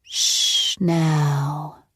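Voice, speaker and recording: A composed, menacing woman keeps her voice low and even while she threatens her captive, as a woman reading the story puts her on. The recording is close and dry. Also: no echo. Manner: calm, measured, coolly threatening. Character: cold, calm woman captor, quietly menacing.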